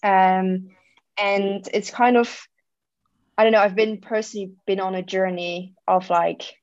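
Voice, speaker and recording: A woman from the Netherlands, a guest chatting casually in an interview and pausing often on "um". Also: medium pitch, fairly even intonation, no room sound, muffled recording. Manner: thoughtful, with frequent "um" pauses. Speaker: guest, company founder.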